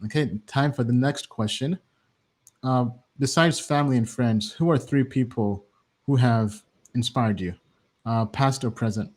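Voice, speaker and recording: A man's voice: mid-range voice, unhurried, darkish tone. A man hosting an interview show, asking questions casually and repeating answers back.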